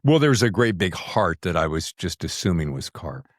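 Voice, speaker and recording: A man's voice: sits low, big pitch swings, moderate pace, no room sound, clean studio sound. A man hosting a conversational interview podcast, asking rambling, circling questions. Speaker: podcast host.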